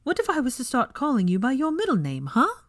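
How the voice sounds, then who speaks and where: Woman voicing a playful, high-pitched teasing young witch. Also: wildly swooping pitch, fairly quick, dry recording, clean studio sound. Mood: exasperated. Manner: falsely sweet, insistent, exclaiming.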